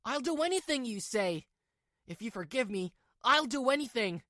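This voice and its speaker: A woman's voice: fairly high pitch, expressive, normal speed, sharp tone, no room sound, clean studio sound, voice pushed up. A woman voicing a weak-willed, two-faced young husband in a manga drama, with a pleading, hesitant delivery. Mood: eager, nervous.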